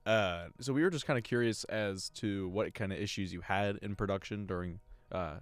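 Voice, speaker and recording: A man's voice: lowish voice, normal speed, no room sound. A man hosting an interview, asking friendly and enthusiastic questions.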